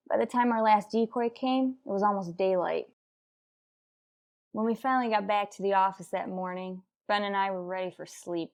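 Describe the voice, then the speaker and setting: A woman's voice: medium pitch, vocal fry, a little mellow, natural voice. A woman playing a terse, dutiful police detective in an old-time radio crime drama, clipped and matter-of-fact. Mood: weary, matter-of-fact. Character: terse, dutiful female police detective narrating her case.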